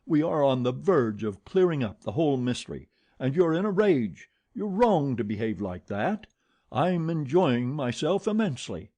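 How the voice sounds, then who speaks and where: A man's voice: medium pitch, expressive, normal speed, few pauses, warm tone, husky. A man voicing an authoritative theatre manager who speaks firmly and with dry irony, in a storybook reading. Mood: commanding. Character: authoritative male manager, calm and ironic.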